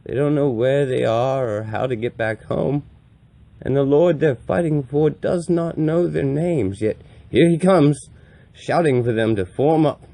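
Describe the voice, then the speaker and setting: A man performing a grave, compassionate old wandering priest in a solemn, deliberate dramatic monologue with a gravelly voice. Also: medium pitch, dark tone, no room sound, pitched above the performer's own voice, close to an everyday voice. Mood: mournful, intense. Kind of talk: dramatic audiobook-style monologue reading.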